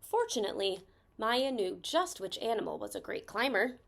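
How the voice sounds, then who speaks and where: A woman reading a children's story aloud expressively, stressing key words with deliberate phrasing. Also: mid-range voice, very animated, gravelly, a bit bright.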